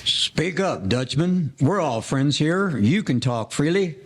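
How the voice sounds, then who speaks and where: A man's voice: on the high side, expressive, takes it slowly, background noise. A man playing a street-wise rum-runner in a 1940s-style audio play, talking in mannered, formal slang. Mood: curious. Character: lovelorn male Prohibition rum-runner, wordy street-wise gangster.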